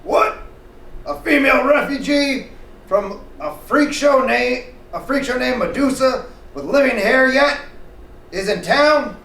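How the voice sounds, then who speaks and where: A man's voice: extremely high pitch, animated, a little slow, vocal fry, pushed and bright, voice pushed up, heavily acted. A man playing a blustering, bombastic, grouchy newspaper boss from a comic book. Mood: outraged. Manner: blustering, indignant, bombastic declamation. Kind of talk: comic book dramatic reading before an audience. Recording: echoey, distant live recording with noise.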